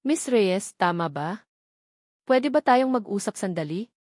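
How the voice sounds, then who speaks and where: A woman voicing a calm, professional male police captain, formal and polite, in a romance audio drama. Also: mid-range voice, a little slow, no room sound, studio quality.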